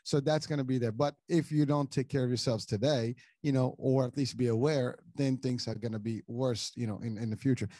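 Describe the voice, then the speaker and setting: Middle-aged man from morocco, podcast host, rambling restarts, mellow tone, dry close sound. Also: medium pitch, steady tone, a little fast, few pauses, no echo. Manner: rambling, many restarts and repeated words. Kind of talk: casual conversational interview.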